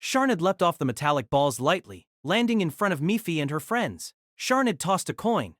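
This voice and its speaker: A narrator telling a fan audio drama story in an expressive storytelling manner. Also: medium pitch, moderate pace, dry recording, clean studio sound.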